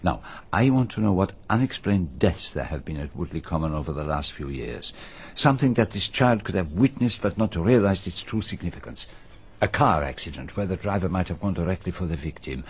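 Courteous, probing continental sleuth, low, husky, gently insistent; radio play. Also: male voice, lively intonation, brisk. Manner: polite, precise, gently insistent questioning. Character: fastidious middle-aged male Belgian detective, courteous and probing. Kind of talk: BBC radio drama acting. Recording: dull archival radio recording.

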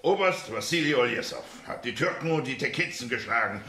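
An actor playing a gruff, sickly old retired colonel in a radio drama, blustering and cursing jovially. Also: male voice, mid-range voice, animated, a little fast, bright, forceful tone. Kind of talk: German radio drama acting. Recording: roomy, reverberant studio scene.

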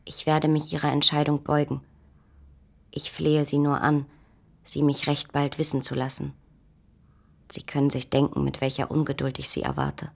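An actress playing a young woman lover reading her letters in a restrained, formal, measured way for a German literary radio drama. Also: low-pitched, vocal fry. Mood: restrained pleading.

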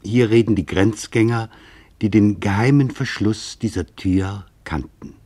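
Grave, low-voiced male documentary narrator. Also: a little slow, warm tone, breathy edge. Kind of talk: scripted literary documentary narration.

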